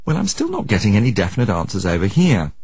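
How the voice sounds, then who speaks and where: Animated, husky man presenting a documentary. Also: on the low side, fast, no room sound. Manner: wry, inquisitive. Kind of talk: scripted radio narration and probing interviews.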